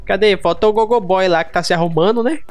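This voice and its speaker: A man's voice: high voice, quick pace. A young Brazilian man chatting casually and playfully in slang while streaming a role-play game. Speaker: gaming streamer. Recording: music under the voice.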